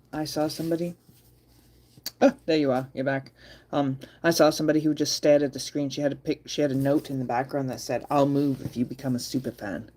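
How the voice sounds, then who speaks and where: A woman's voice: extremely low pitch, dark tone. A woman hosting a casual livestream, playful, often laughing and reading chat aloud. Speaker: livestream host.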